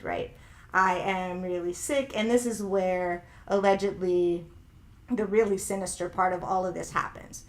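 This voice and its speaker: A middle-aged woman hosting a podcast who sounds younger than her age, talking in a reflective, conversational way and often hedging what she says. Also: mid-range voice. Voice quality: youthful-sounding voice. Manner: reflective, conversational, hedging with "allegedly" and "if you will". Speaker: podcast host. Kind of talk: casual commentary podcast, reading viewer comments.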